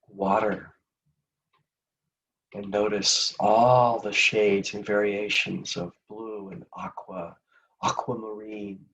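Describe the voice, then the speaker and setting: A man leading a guided meditation in a gentle, soothing, unhurried manner with long pauses between phrases. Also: fairly low pitch, distant mic, muffled recording.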